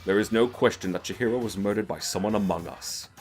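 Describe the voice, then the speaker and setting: A man's voice: on the low side, fast talker, a little mellow, soft background music, natural voice. A man playing a haughty, commanding teenage boy in an anime game fan dub, imperious and curt. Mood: cold impatience.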